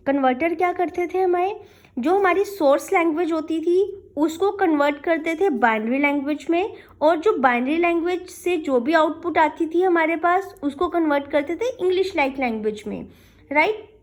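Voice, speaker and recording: High-pitched woman teaching. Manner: asks and answers own questions, frequent check-in tags; mixes English technical terms into speech. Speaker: programming teacher. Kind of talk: explanatory classroom lecture.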